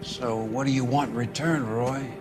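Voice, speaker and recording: An actor playing a pragmatic political fixer in a video game, matter-of-fact. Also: male voice, mid-range voice, steady tone, moderate pace, loud music behind the voice, close to an everyday voice. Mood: cool, wary. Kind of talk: video game dramatic acting.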